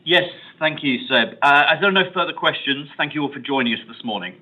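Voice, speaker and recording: A man's voice: a little high, expressive, fast talker. A male company spokesman making formal closing remarks on an earnings call. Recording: narrow phone-line conference call audio.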